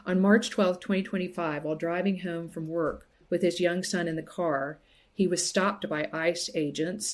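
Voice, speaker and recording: A woman speaking as an expert guest, explaining things step by step in a measured, conversational way. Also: low voice. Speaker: guest legal and policy expert.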